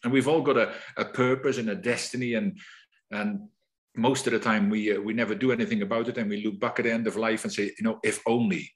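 A man's voice: on the low side, steady tone, fast. Reflective Dutch-accented man. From Netherlands. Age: middle-aged. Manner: reflective, with frequent restarts. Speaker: guest. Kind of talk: conversational interview.

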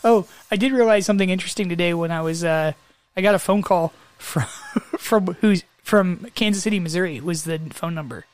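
Chatty male co-host, lively anecdotes, dry close sound. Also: sits high, brisk, no echo. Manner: animated storyteller, laughs often. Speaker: podcast co-host. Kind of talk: casual chat.

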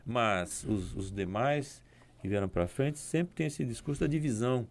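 Gravelly-voiced man explaining calmly. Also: medium pitch. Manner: measured, explanatory, with hesitant restarts. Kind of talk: discussion program conversation.

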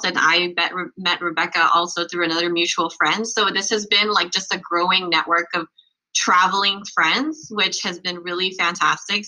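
A woman's voice: fairly low pitch, bright, forceful tone, poor audio. A woman guest telling personal stories in a casual, conversational way. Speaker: guest sharing travel stories.

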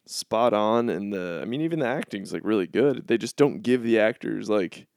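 A young man co-hosting a casual podcast chat, talking in halting bursts with frequent restarts and easy laughs. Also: on the low side, animated, gravelly, a little mellow, no echo, clean studio sound. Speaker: podcast co-host.

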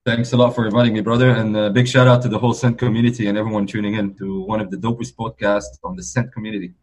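A man from Lebanon in his forties with a slightly low, husky voice. He talks loosely and warmly in an interview, leaning on "like" and "you know" as he goes. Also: little pitch movement, quick pace. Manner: easygoing, rambling, frequent "like" and "you know". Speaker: guest DJ. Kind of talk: relaxed conversational interview.

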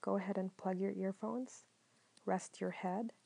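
A woman from the Philippines hosting a solo podcast, talking in a calm, mellow way, partly whispered, with many ums. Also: mid-range voice, unhurried, vocal fry, slightly rough. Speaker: podcast host. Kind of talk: soft-spoken solo podcast monologue.

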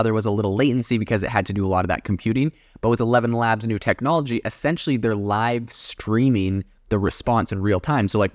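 A man with a fairly low voice talks through tech news as a podcast host. His delivery is casual and explanatory, loosely worded with plenty of informal hedging phrases. The sound is dry and close, with little room in it. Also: no room sound. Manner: informal, hedging with frequent "kind of" and "you know".

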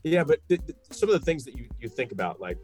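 A man's voice: medium pitch, very animated, fast talker, a little mellow, soft background music. A man from New York in a casual, opinionated sports conversation, talking emphatically and posing rhetorical questions. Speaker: sports podcast guest and radio play-by-play broadcaster.

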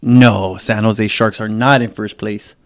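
A man co-hosting a casual sports podcast, excitable and swearing a lot, his voice jumping up into a high squeak. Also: a little slow. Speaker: podcast co-host.

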